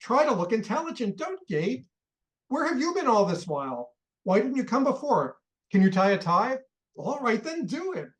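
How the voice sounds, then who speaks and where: An actor playing an impatient older American millionaire who barks orders and scolds. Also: male voice, very high-pitched, big pitch swings. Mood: irritable. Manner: barking, scolding, rapid-fire orders. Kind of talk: radio play acting.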